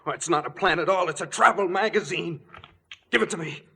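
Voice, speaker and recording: An actor playing a suspicious, sleepless killer on edge in 1940s radio style, speaking in a clipped, hard-boiled way. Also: male voice, high-pitched, a little fast, gravelly, sharp tone, slightly rough, heavily acted. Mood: edgy, dazed.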